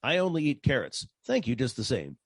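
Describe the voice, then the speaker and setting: An actor playing a wry, hard-boiled private detective in a 1940s-style radio caper, with a sardonic delivery. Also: male voice, mid-range voice, huge pitch swings, fairly quick, no echo. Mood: wry, polite.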